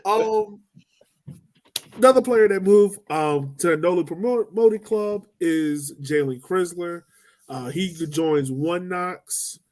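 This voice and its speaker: A man's voice: sits high, slow, darkish tone. A man co-hosting a sports podcast, talking loosely with lots of "um" and "like". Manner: loose and chatty, lots of "um" and "like", laughs easily. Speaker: podcast co-host. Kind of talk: casual sports chat.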